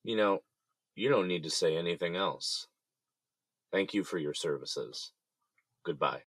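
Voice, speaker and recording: A man's voice: deep voice, animated, measured pace, a bit bright, dry recording, exaggerated voice. An actor playing a guarded, bitter man in jail who feels betrayed, speaking tersely in an audio drama. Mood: bitter, cold anger.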